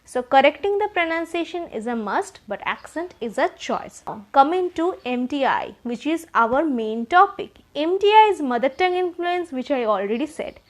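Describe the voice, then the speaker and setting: High-voiced Indian woman teaching English. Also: very animated, moderate pace, hardly any pauses. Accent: Indian English, Bengali. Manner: earnest, repeats key points, direct advice. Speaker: spoken English trainer. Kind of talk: explanatory lesson to learners.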